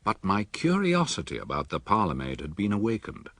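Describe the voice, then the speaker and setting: A man playing a measured, inquisitive gentleman doctor who narrates and questions in a radio drama. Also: lowish voice, wildly swooping pitch, dry recording, exaggerated voice. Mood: narrating, reflective. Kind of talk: radio drama acting and first-person narration.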